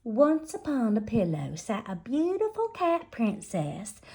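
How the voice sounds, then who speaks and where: A woman's voice: fairly high pitch, wildly swooping pitch, a little slow, dark tone, breathy edge, voice pushed up, exaggerated voice. A woman voicing a doting but firm mother cat in a storybook, coaxing and singsong. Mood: storytelling. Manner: coaxing, singsong, pet names.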